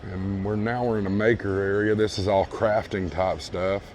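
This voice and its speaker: A hoarse man losing his voice, casually walking viewers through a large store and calling them folks. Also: lowish voice, steady tone, normal speed, a little mellow, slight background noise. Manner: easygoing, repeats praise, addresses viewers as folks. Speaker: vlog host touring a store. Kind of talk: casual walkthrough narration. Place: large retail store indoors. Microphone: handheld phone camera.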